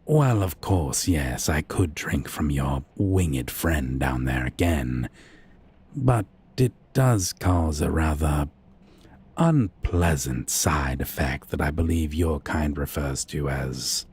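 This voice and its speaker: A man's voice: a bass voice, animated, slow, a little mellow, breathy edge, full character voice. A man playing a haughty, sadistic vampire captor in an ASMR roleplay, with a drawling, condescending, menacing and archly formal manner. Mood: smug, taunting.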